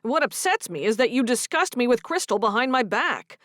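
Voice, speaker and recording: A woman's voice: fairly high pitch, very animated, normal speed, a bit bright, no room sound, studio quality, pitched above the performer's own voice. A woman reading a romance audiobook, voicing a young man who is wounded and earnest as he confronts his girlfriend. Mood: hurt.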